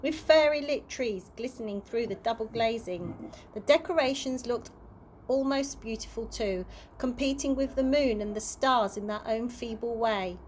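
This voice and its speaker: High-voiced woman reading a storybook aloud. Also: normal speed, darkish tone, slight background noise. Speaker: early years practitioner reading stories.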